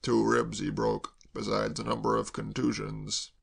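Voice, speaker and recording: A man voicing a mournful, doom-laden male servant, speaking slowly and heavily. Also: flat delivery, creaky, full character voice. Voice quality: deep, slow, heavy. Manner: mournful, dwells on disasters. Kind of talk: audiobook character voice.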